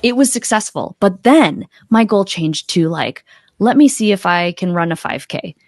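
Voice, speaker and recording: A woman's voice: mid-range voice, expressive, normal speed, a little mellow, no echo. A middle-aged woman talking casually as a podcast guest, telling her experiences and voicing her own thoughts. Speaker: podcast guest, accountability coach. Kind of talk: casual conversational interview. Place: small home room, indoors, video call. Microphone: close desktop podcast microphone.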